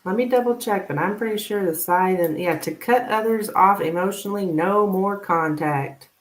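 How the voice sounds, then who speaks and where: A woman hosting a casual live tarot reading, speaking informally and haltingly as if thinking aloud. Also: medium pitch. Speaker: tarot reader, live stream host. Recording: roomy, echoing live stream sound.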